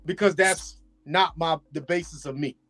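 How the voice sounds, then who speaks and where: A man on a talk show arguing in casual chat, blunt and animated. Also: on the high side, moderate pace, music in the background. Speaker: show regular.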